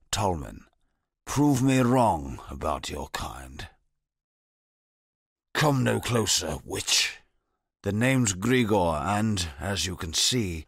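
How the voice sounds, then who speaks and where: An actor playing a bitter, disfigured male convict in a video game, talking in a low, brooding, sardonic way with a gravelly, husky voice. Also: a bit bright, no room sound, full character voice. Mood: gruff wary. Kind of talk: game character voice lines. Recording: dry studio recording.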